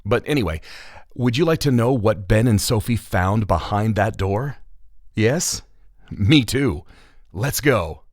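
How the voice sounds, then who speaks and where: Guy doing a dramatic kids podcast narrator, kinda exasperated, really lively, close dry recording. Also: lowish voice, takes it slowly, no room sound. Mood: suspenseful. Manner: theatrical, exasperated. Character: theatrical, easily exasperated male storyteller narrator. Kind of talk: scripted children's story narration.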